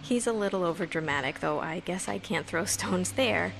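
An actress playing a grieving, self-dramatizing young woman in an audio drama, speaking in a halting, rambling, emotional way at a memorial for her brother. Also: lowish voice, vocal fry, background noise. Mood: subdued, wistful. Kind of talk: audio drama monologue, naturalistic confessional speech.

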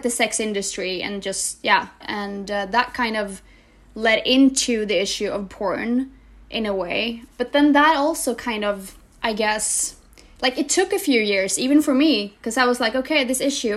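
A woman's voice: fairly high pitch, moderate pace. A young woman from Norway, a guest speaking casually over a video call, hesitant with many fillers and restarts. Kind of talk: casual interview chat.

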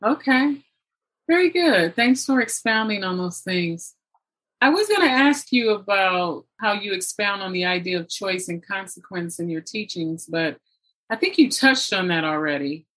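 Bright-voiced woman interviewer. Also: medium pitch, lively intonation, moderate pace, low-quality recording. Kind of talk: casual conversational interview.

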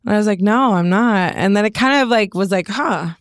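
A young woman with a Canadian accent and a raspy voice, chatting casually as an interview guest in a studio. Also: medium pitch, fairly quick, dry recording. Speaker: interview guest, rapper and songwriter. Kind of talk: casual chat.

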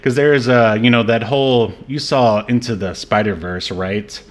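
A man with a middling pitch hosts a casual film discussion podcast. He talks in a rambling, halting way, feeling out his thoughts as he goes in loose conversational phrasing. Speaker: podcast host.

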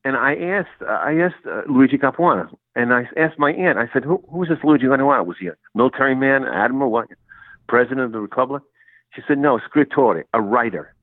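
A man's voice: mid-range voice, animated, a little fast, no room sound. A man whose first language was Sicilian, a college English professor telling his story in an interview, with a rambling manner and many restarts. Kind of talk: relaxed interview conversation. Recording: tinny phone-like line, dry.

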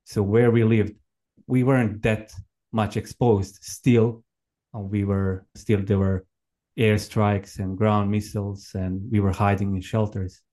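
A man in his thirties from Croatia with a Croatian accent, telling his own story conversationally as an interview guest, in halting, reflective non-native English. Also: lowish voice, level tone, mellow. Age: 30s. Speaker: podcast guest, permaculture farmer and educator. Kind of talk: conversational personal account.

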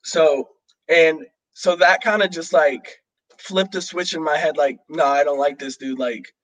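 A young man talking casually with a fellow student, his voice sitting slightly high. He rambles and restarts often, packing in fillers as he works through his thoughts. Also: steady tone, unhurried, a bit bright, rough recording. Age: young adult. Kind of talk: casual chat.

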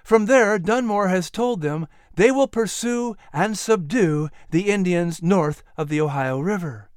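A man's voice: high voice, measured pace, clean tone, dry recording, clean studio sound, voice pushed up. A man voicing a grave, determined young woman disguised as a militia soldier. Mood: resolute. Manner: earnest, solemn.

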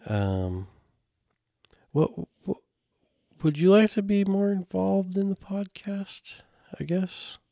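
A man's voice: high voice, expressive, takes it slowly, gravelly, warm tone, no room sound, clean studio sound. A man hosting a casual podcast, speaking hesitantly with lots of fillers and trailing off. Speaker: podcast host, mechanic.

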